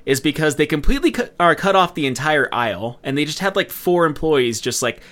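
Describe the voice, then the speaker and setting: A man's voice: a little high, lively intonation, brisk, a bit bright. A man co-hosting a podcast, chatting casually in a rambling, conversational way. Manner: rambling, conversational, frequent use of "like". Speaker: podcast co-host. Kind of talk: casual chat.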